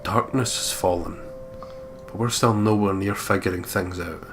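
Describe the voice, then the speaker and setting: A man's voice: low voice, normal speed, fairly bright tone, soft background music. A man reading a horror visual novel aloud as a dramatic, brooding first-person narrator. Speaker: let's player reading the game aloud.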